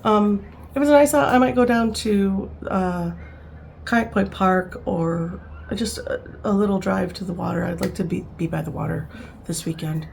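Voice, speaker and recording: A woman's voice: medium pitch, normal speed, gravelly, a little mellow, a little hiss or hum. A woman in her fifties, rambling and chatty, talking casually to camera at home, with frequent laughs and fillers. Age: 50s. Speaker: vlogger.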